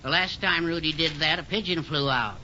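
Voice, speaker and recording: An actor playing a wry, folksy, wisecracking business partner in a 1940s radio situation comedy. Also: male voice, fairly high pitch, a little fast, close to an everyday voice. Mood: dry wisecracking. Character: wisecracking, easygoing office partner. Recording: muffled 1940s radio recording, faint noise.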